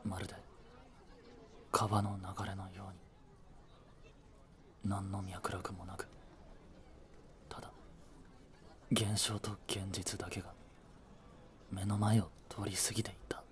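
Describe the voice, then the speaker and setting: A man playing a blunt, devoted young boyfriend in a romantic voice drama, with a breathy voice and heavy emotion. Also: sits low, level tone, slow pace, long pauses, slight background noise. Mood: numb, hushed narration. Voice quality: breathy, slightly husky. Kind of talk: romantic Japanese voice drama acting.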